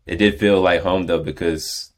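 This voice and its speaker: A man's voice: sits low, fairly even intonation, normal speed. A man in his twenties with an American accent, a podcast guest talking casually with many fillers and restarts. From born in England, raised in the United States. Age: 20s. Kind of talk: casual conversation.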